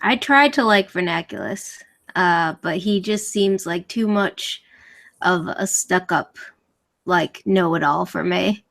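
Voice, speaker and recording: A woman's voice: lowish voice, slow pace, gravelly, a bit bright. A woman on a group video call, giving her opinions in a candid, casual way. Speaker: call participant.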